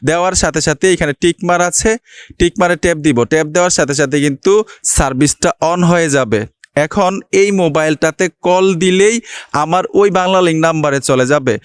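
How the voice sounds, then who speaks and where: A Bangladeshi man with a notably high, clean voice presents a step-by-step tech tutorial. He instructs the viewer directly and keeps checking that they are following. The sound is very dry, with no room around it. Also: fairly quick, smooth, no room sound. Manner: instructional, repeatedly checks understanding.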